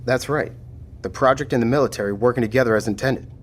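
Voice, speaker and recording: A man playing a steady, matter-of-fact military lieutenant taking charge after a disaster in an audio drama. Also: medium pitch, fast talker, slight background noise, close to an everyday voice. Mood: measured, resolute.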